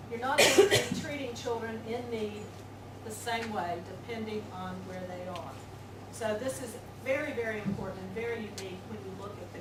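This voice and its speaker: A woman with a Southern American accent giving an earnest, measured conference talk and leaning on repeated phrases for emphasis. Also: mid-range voice. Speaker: conference speaker. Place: conference hall. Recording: echoey hall, distant mic, loud noise.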